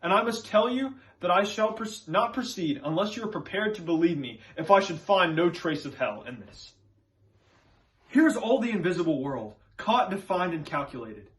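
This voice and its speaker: A man's voice: high voice, sing-song, moderate pace, a bit bright, pitched above the performer's own voice. A man performing stage monologues as an earnest, scholarly witch-hunting reverend, with emphatic, preacherly delivery and formal archaic phrasing. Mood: stern, instructing.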